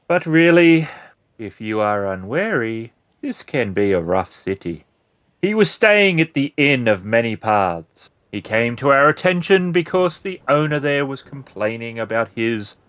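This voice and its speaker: Man voicing an imperious older woman, slow and measured. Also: medium pitch, no room sound. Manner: measured, haughty, formal. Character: overweight sixty-year-old woman, imperious, cagey city boss.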